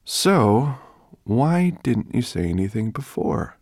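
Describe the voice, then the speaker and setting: A man's voice: mid-range voice, sing-song, slow, darkish tone, dry recording, clean studio sound, pitched above the performer's own voice, exaggerated voice. A man voicing a kind, sensible young boy who speaks plainly, earnestly and protectively in a storybook. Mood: concerned.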